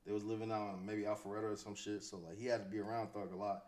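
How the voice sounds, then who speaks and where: A man's voice: lowish voice, flat delivery, rapid-fire, darkish tone. A man with an American accent giving casual, off-the-cuff commentary as a host, slangy and conversational, often repeating himself. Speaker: host commentating on hip-hop news.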